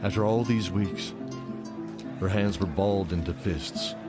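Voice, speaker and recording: Deep-voiced male fantasy narrator. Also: a little slow, over loud music. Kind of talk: scripted dramatic fantasy narration.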